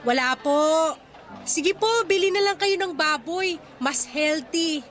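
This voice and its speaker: A woman's voice: very high voice, slow, fairly bright tone, faint music behind, heavily acted. A woman playing a young, cheeky and persuasive market fish vendor with a Filipino accent in a radio drama. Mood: cheeky, defensive. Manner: pleading sales patter with teasing comebacks.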